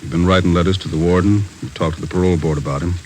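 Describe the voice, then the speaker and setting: An actor playing a stern chief of detectives who asks measured, probing questions in a radio drama. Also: male voice, sits low, fairly even intonation, darkish tone, a little hiss or hum, natural voice, low-quality recording.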